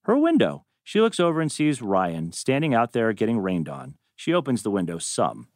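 A man's voice: medium pitch, wildly swooping pitch, normal speed, darkish tone, no echo, clean studio sound. A man narrating stage directions from a script at a table read.

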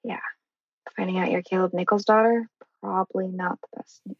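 A woman playing a fed-up, sardonic teenage girl in a drama table read, with a husky, creaky voice. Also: medium pitch, fairly even intonation, normal speed, no echo, muffled recording. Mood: wry.

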